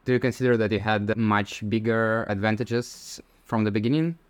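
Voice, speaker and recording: A male podcast host puts questions to his guest, pausing and apologizing as he goes. His voice is low-pitched and smooth, with a clean, resonant tone. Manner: asks questions with hesitant pauses and apologies. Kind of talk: interview questions.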